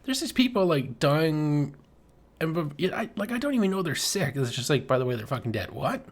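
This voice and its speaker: A man co-hosting a podcast in a joking, offhand way. He talks in quick bursts and drops casual swears. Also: on the high side, big pitch swings. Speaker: podcast co-host.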